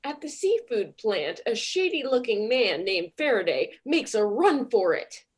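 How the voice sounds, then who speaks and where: A woman narrating a comedy radio play with sass and mock drama. Her voice sits a bit high and moves around a lot. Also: big pitch swings, measured pace, slightly rough.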